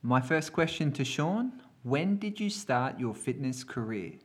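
A male podcast host with a middle-pitched voice opens the episode in an upbeat way, then moves into asking his guest questions with short, encouraging replies in between. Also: sing-song, a little slow, breathy edge. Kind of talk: podcast interview hosting.

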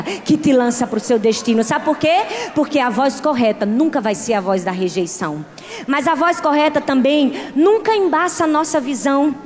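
Woman preacher, thirties, strong regional accent, high voice, emphatic delivery, hall reverb. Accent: Portuguese-speaking, with a strong regional accent. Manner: emphatic preaching with swings from soft to loud. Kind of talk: sermon.

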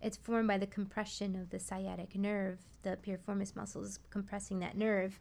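A woman's voice: medium pitch, flat delivery, fairly quick, vocal fry, darkish tone. A woman speaking as a guest massage therapist in a casual talk show interview, explaining anatomy in plain, informal words.

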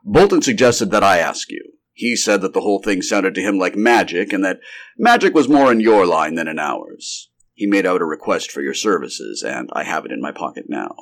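A man reads the part of a quiet, steady secret agent from a pulp storybook. His voice is low and he talks in a businesslike, matter-of-fact manner. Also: normal speed, exaggerated voice. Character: steady, quiet male Secret Service operative. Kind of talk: acted story dialogue.

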